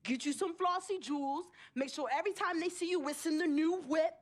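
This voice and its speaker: An actress playing a basketball star's protective twin sister in a video game drama, sarcastic and confrontational. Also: female voice, very high-pitched, brisk. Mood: mocking, sarcastic.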